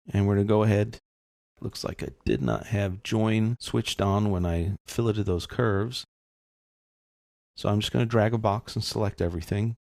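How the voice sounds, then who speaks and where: A man giving an informal step-by-step software tutorial, explaining casually as he thinks aloud. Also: lowish voice, gravelly, no echo, clean studio sound.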